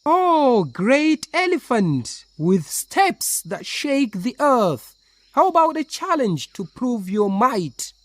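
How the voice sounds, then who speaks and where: A woman voicing a clever, cheeky little male spider in a children's story, speaking in a theatrical, drawn-out way. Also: on the high side, big pitch swings, no room sound, voice pushed up, full character voice. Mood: sly flattery. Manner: theatrical, slow, drawn-out challenges.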